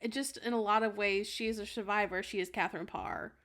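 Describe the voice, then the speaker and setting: A woman with an ordinary mid-range voice. She chats informally on a comedy podcast, thinking her argument through out loud. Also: mid-range voice, steady tone, brisk. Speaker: podcast panelist. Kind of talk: casual comedic podcast chat.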